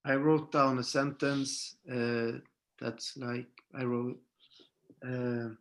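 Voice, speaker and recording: A man sharing reflections in a halting way as a workshop participant. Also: medium pitch, level tone, a bit bright.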